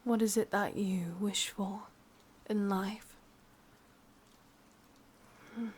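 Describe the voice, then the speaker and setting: A woman playing a sadistic, possessive witch with an English accent in a whispered ASMR roleplay, breathy, teasing and menacingly sweet. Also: medium pitch, fairly even intonation, extremely slow, darkish tone, slight background noise. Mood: coaxing, demanding.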